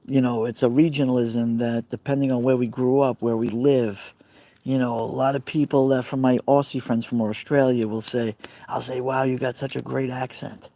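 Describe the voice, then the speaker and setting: Mellow-voiced Brooklyn man chatting. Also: medium pitch, level tone, moderate pace, no room sound. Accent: Brooklyn New York. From Brooklyn, New York. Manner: chatty, rambling, answers viewer comments by name. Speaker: live streamer. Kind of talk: casual live stream chat with viewers. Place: outdoors by a fire pit.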